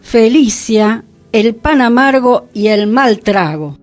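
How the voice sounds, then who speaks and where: A woman's voice: a little high, animated, slow, darkish tone. A woman with an Argentine accent from Buenos Aires, co-hosting an informative radio conversation. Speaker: radio co-host. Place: radio studio. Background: faint music.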